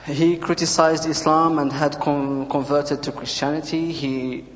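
A man from Morocco with a Moroccan accent, giving a prepared personal testimony with halting, deliberate phrasing. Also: on the high side, little pitch movement. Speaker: church member giving testimony. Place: large church room, speaking to a congregation. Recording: reverberant hall.

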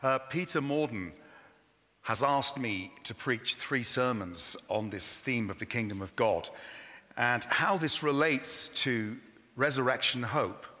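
Preacher with bright voice in a reverberant church. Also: male voice, mid-range voice, animated, slow pace. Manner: apologetic about his loud voice, enthusiastic. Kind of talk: sermon. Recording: long hall reverb.

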